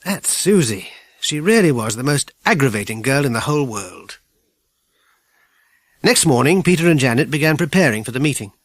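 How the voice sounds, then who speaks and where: A man with a British accent reading a children's audiobook in measured, clearly phrased storytelling. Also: mid-range voice, sing-song, no echo. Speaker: audiobook narrator. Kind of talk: scripted children's story narration.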